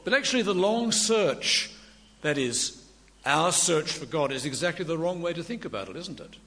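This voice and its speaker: An older man preaching a sermon with a quiet voice and dry humour. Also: fairly high pitch. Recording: long hall reverb, faint room noise.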